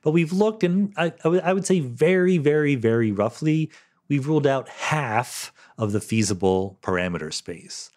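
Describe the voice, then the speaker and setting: A man's voice: on the high side, expressive, normal speed, a little mellow. A middle-aged man hosting a podcast, answering listener questions in a thoughtful, explanatory solo monologue, often checking in with "right?".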